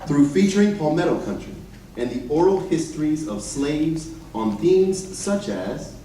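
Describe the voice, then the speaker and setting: Male stage narrator, high-pitched and unhurried, formal recitation, distant in a reverberant hall. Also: expressive, warm tone. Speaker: readers theater narrator and singer. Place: large echoey indoor chapel, live performance. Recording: very echoey hall, distant mic, faint noise.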